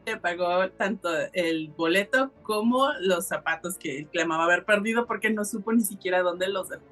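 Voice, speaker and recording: A woman's voice: on the low side, moderate pace, a bit bright, faint music behind. A woman with a Mexican accent hosting a podcast, telling a story in a casual, colloquial and explanatory way. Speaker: podcast host.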